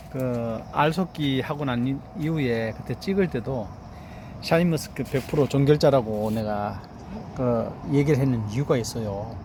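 A man's voice: medium pitch, noisy background. A middle-aged man, a grape farmer, proudly shows his harvest in a vineyard and keeps urging the viewer to look. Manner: proud, boastful, repeats "look here" and asks "eh?". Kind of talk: casual vlog walkthrough. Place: outdoor vineyard.

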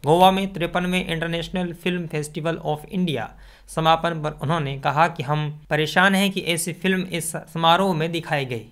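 A man's voice: on the high side, fairly even intonation, moderate pace, fairly bright tone. A man delivers scripted, argumentative opinion commentary to camera, speaking emphatically into a close desk microphone. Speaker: video commentator. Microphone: desk condenser microphone, close.

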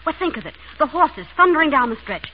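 An actress in a 1930s radio comedy-mystery playing a headstrong, blithely theatrical ex-wife who writes mysteries. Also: female voice, sits high, sing-song, fast talker. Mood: excited. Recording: dull, noisy 1930s radio recording.

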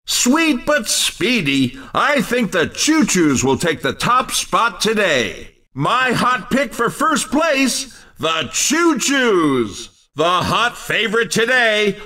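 High-pitched, excitable racing commentator. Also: male voice, distant mic, exaggerated voice. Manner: punchy, showy, drawn-out name reveals. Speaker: race commentator in a video game. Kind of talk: excited sports commentary, announcer delivery.

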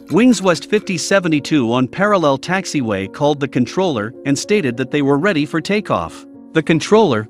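Man narrating documentary, slightly high voice.

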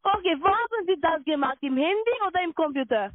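Insistent high-voiced woman on a phone line. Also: expressive, no room sound. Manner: insistent, repetitive, halting non-native phrasing. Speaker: call centre sales agent. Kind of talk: scripted sales call. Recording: narrow tinny phone line.

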